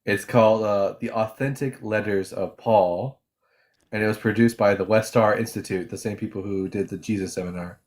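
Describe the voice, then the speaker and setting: A man in casual conversation, talking quickly and eagerly as he recommends a video and a book. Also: lowish voice, steady tone. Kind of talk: casual chat.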